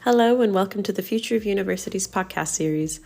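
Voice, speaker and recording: A woman's voice: mid-range voice, fast, gravelly, dark tone, faint music behind. A woman hosting a podcast, reading a formal scripted introduction. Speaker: podcast host.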